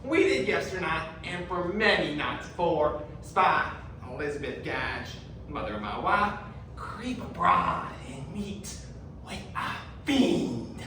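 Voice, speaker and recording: Forceful, low-voiced Puritan husband played by a woman. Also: very animated, takes it slowly, heavily acted. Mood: earnest accusing. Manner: declamatory, earnest. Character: earnest, credulous Puritan husband, a male accuser. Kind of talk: theatrical stage acting. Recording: echoey hall from far off, faint noise.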